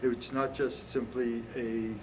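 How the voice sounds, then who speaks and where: A man in his seventies giving an informal explanation as a scholar, with halting, deliberate phrasing. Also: mid-range voice, flat delivery. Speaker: author and scholar. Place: small indoor meeting room. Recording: noisy room, distant mic.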